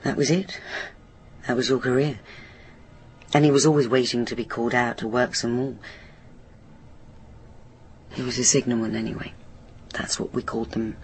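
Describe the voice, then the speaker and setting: A woman's voice: very deep voice, steady tone, moderate pace, pauses often, darkish tone, slight background noise. A British woman playing a troubled, hesitant daughter of a railway signalman in a naturalistic radio drama. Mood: reflective. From Britain. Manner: hesitant, self-correcting, reflective. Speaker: actor in a radio play.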